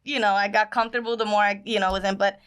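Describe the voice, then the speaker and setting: A woman's voice: medium pitch, fast talker, clean tone. A woman with a Brooklyn accent, a social worker guesting on a podcast, chatting casually with lots of "you know". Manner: animated storyteller, frequent "you know" and laughs.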